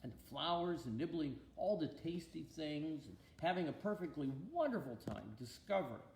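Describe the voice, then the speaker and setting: A man narrates a children's Bible story in a deliberate, expressive storytelling style. His voice sits a little high and moves with lively variation, heard in an echoey room. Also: a little hiss or hum. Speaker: bishop reading a story aloud to a listener.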